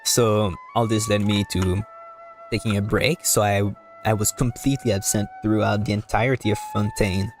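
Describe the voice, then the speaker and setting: A man giving casual live commentary as a game streamer, rambling and halting as he repeats words. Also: lowish voice, fairly even intonation, faint music behind.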